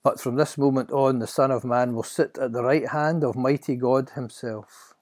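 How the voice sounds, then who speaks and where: A man voicing a calm, commanding teacher in a Bible story, measured and authoritative. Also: medium pitch, pitched above the performer's own voice, natural voice. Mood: solemn.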